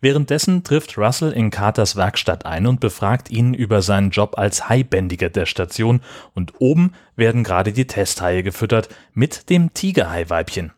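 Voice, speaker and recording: A man's voice: lowish voice, animated, moderate pace, darkish tone, no room sound, studio quality. A man co-hosting a podcast, reading a scripted retelling of a film. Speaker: podcast co-host. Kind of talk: scripted film recap narration.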